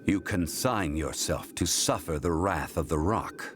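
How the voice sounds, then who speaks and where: An actor playing a composed, learned man who holds strictly to contracts, speaking in a calm, formal, measured way. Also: deep voice. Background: game music.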